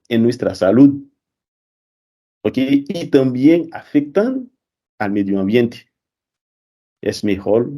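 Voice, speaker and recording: Slow, halting man with a non-native accent. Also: medium pitch, darkish tone. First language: not Spanish. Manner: halting, self-correcting, with fillers. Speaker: student speaker. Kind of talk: conference talk.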